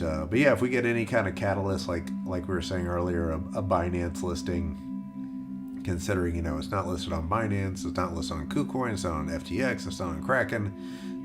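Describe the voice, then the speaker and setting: A man hosting a casual crypto livestream, rambling with lots of fillers and restarts while he loses his voice. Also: low-pitched. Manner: reads viewer questions aloud. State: losing his voice.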